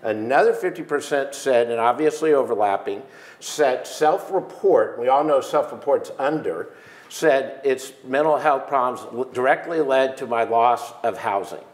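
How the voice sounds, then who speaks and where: A man's voice: mid-range voice, normal speed, reverberant, low-quality recording. A man in his sixties, a panel guest at a conference, talking emphatically with many restarts on a lapel mic. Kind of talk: conference keynote conversation. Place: stage in a large room, seated in armchair.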